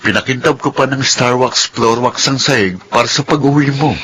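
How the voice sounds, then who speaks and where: A man's voice: mid-range voice, normal speed, sharp tone, a little hiss or hum, lightly acted. A man playing a hopeful, devoted young man in a radio drama, earnest and encouraging. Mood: relieved, encouraging.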